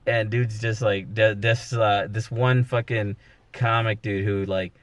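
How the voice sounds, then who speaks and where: Low-voiced man telling stories. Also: flat delivery, measured pace, dry recording. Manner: rambling storytelling with profanity. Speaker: podcast host; comedian. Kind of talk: casual chat.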